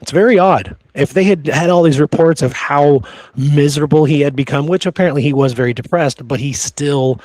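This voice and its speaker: A man's voice: a little high, fairly quick, darkish tone, no room sound. A man co-hosting a history podcast, telling old stories in a casual, colloquial way. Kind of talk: casual conversational history storytelling.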